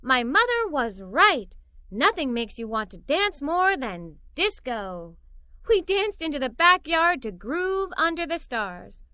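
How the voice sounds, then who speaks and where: A woman reading a children's story aloud in an animated, playful, emphatic way, as a young girl telling it. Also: high voice, slow pace, no echo. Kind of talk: expressive read-aloud storytelling in a young girl's first person.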